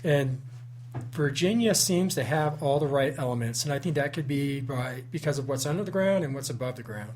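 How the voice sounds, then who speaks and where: A man's voice: medium pitch, animated, brisk, darkish tone, slight background noise. A man who investigates the paranormal, a guest on a radio talk show, explaining his theories earnestly with lots of "like". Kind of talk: casual radio interview.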